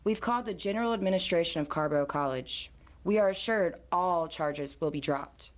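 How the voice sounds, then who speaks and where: Elderly female chief and grandmother played by a woman, proud, formal, measured, slightly low register, audio drama. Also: animated, natural voice. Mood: stern.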